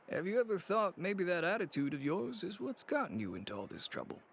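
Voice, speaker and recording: A gravelly, wry business contact. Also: male voice, high-pitched, big pitch swings, measured pace, fairly bright tone, a little hiss or hum, full character voice. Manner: wry and resigned. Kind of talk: video game voice acting.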